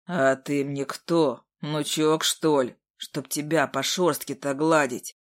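A woman's voice: low-pitched, steady tone, slow, pitched below the performer's own voice. A woman voicing a mocking, icy male demon in an audiobook.

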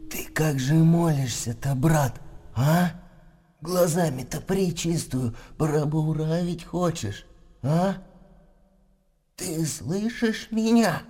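An actor playing a kindly old monastery gatekeeper in a radio play, slow and folksy, often questioning. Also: male voice, on the high side, dark tone, husky. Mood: reproachful questioning. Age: older. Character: kindly old monk gatekeeper, gentle and small.

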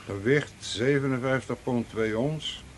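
An actor playing a polite, matter-of-fact junior police detective in a radio play. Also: male voice, medium pitch, unhurried, close to an everyday voice. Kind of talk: Dutch radio play acting. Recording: noisy old radio play recording.

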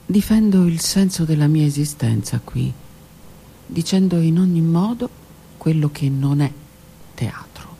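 A middle-aged woman, a stage actress, performing a dramatic monologue that swings from hushed whispers to raised declaiming. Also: deep voice, expressive, measured pace, creaky, slightly rough. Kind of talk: theatrical monologue. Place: radio studio.

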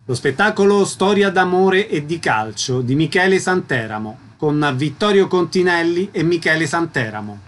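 A male radio host with a somewhat high voice. He reads introductions in a presenter's scripted style and asks concise interview questions. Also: animated, normal speed, few pauses, a bit bright.

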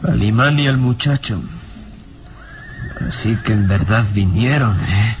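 A man's voice: on the low side, measured pace, slight background noise, lightly acted. An actor playing a jealous, violent and brooding man in a radio serial, with a menacing, theatrical delivery. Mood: wary, sinister. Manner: menacing, theatrical, hot-tempered. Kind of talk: Mexican radio serial acting. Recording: dramatic music behind the voice.

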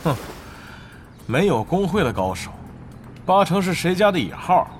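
An actor in a Chinese audio drama playing a shrewd, suspicious rival guild leader with a scornful manner. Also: male voice, high voice, sing-song, moderate pace, a little hiss or hum. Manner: sceptical, scornful.

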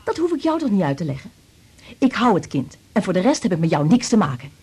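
An actress playing a headstrong, defiant young woman, husky and combative, in a radio drama. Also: medium pitch, big pitch swings, fast talker, darkish tone. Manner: combative, emphatic. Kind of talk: naturalistic radio drama acting.

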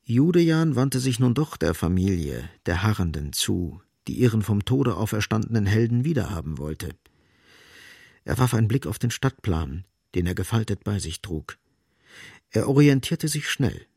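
A man's voice: on the low side, very animated, moderate pace, gravelly, darkish tone, breathy edge, dry recording, clean studio sound. A man narrating a scripted literary radio drama as storyteller.

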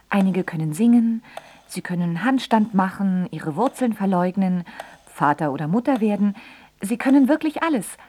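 A woman's voice: medium pitch, darkish tone, breathy edge. An actress playing a bitter, ironic grown daughter who tells of her father in a dramatic radio play monologue. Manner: sardonic, dramatic monologue with sudden exclamations. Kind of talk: radio play narration and dialogue.

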